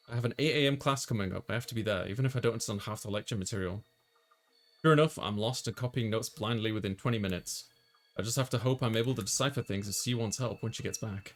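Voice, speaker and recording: A man's voice: on the low side, fast talker. A man reading a visual novel aloud as a let's player, narrating and chatting casually, with game music behind him.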